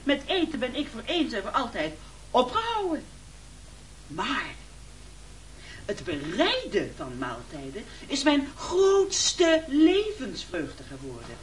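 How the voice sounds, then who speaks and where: Hoge, weifelende, kruiperige kok. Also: female voice, on the high side, wildly swooping pitch, slow pace, heavily acted, low-quality recording. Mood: ingratiating, nervous. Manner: hesitant, self-effacing, ingratiating. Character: falsely modest, wheedling cook pleading before diners. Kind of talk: Dutch radio play acting, speech-making.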